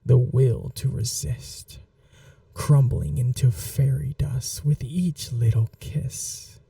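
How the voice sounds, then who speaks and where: Slow, whispery, dark-toned vampire mistress, acted by a woman. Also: extremely low pitch, fairly even intonation, far from the mic, exaggerated voice. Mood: hypnotic, coaxing. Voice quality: soft, breathy, often whispered. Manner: slow, languid, teasing and coaxing. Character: possessive, seductive vampire noblewoman doting on her butler. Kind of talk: intimate ASMR roleplay.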